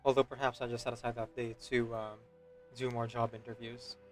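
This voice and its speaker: A man's voice: mid-range voice, flat delivery, a little fast. A man doing casual gameplay commentary, musing to himself in a hesitant, trailing way. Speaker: streamer. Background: game music behind the voice.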